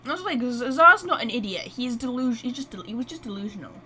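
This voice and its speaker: A woman streaming a video game with casual live reactions, talking in a rambling, excitable, blunt way. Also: a little high, fast.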